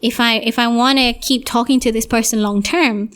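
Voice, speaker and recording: A young woman hosting a solo podcast, slightly hoarse, talking in a rambling, reflective way with many restarts. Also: on the high side, steady tone, moderate pace, fairly bright tone. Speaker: podcast host.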